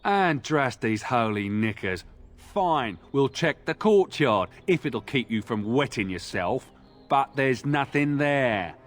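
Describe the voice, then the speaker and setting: An actor playing a gruff, mocking, irritable castle guard in a fantasy video game. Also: male voice, mid-range voice, animated, slow pace, soft background music. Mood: scornful. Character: gruff, scornful, foul-mouthed castle guard. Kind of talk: video game cutscene acting.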